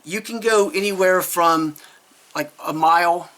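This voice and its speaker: A man's voice: high voice, unhurried, fairly bright tone. A man giving a casual, unscripted product review in a rambling, hesitant way, often correcting himself as he goes.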